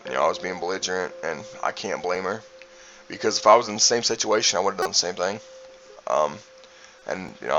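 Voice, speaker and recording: A man's voice: lowish voice, a bit bright, lo-fi sound, slight background noise. A man telling personal stories in a casual, rambling, joking way, swearing freely as a streamer does. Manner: heavy swearing, frequent "you know" and "man". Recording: music playing behind the voice. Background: background music.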